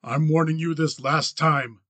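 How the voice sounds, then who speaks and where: A man voicing an angry, cold young cattle rancher in an audiobook western, flat, clipped and challenging. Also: on the high side, a little slow, husky, no room sound, exaggerated voice. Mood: threatening.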